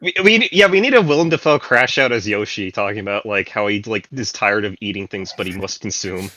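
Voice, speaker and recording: A man with a fairly low but bright, forceful voice chats on a podcast. His pitch swings widely as he talks in a sweary, animated and incredulous way. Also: a little fast, dry recording. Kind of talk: casual group chat.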